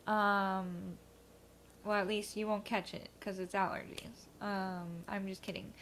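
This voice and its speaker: A woman talks slowly and hesitantly, full of ums and likes, as she rambles through her day. She sounds a bit stuffy from allergies, and faint background noise sits under her voice. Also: mid-range voice, little pitch movement, a bit bright. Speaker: vlogcast co-host. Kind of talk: rambling casual chat.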